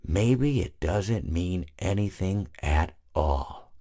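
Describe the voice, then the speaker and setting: An actor playing a friendly, long-winded animatronic hippo who rambles through meandering stories, full of restarts. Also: male voice, low voice, expressive, slow, dark tone, heavily acted. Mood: rambling.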